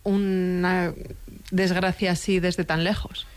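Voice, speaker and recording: A woman hosting a radio interview, brisk, with brief interjections. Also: lowish voice, flat delivery. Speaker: radio host.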